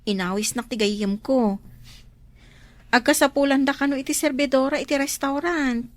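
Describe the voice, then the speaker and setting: A woman's voice: high-pitched, fairly even intonation, moderate pace, no echo, lightly acted. A woman with an Ilocano accent playing a practical, chatty neighbour in a radio drama, talking matter-of-factly.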